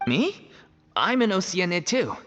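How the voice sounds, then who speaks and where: A man's voice: high voice, sing-song, unhurried, very noisy. A man playing a casual, good-natured young stage actor in a video game. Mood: surprised. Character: easygoing, burly young male troupe actor, wounded past.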